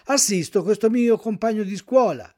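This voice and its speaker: A man's voice: normal speed, close to an everyday voice. A man voicing a sobbing wooden puppet boy. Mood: frightened. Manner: weeping, pleading, higher and louder than the narration. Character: crying wooden puppet boy, stubborn and frightened. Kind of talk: audiobook character reading.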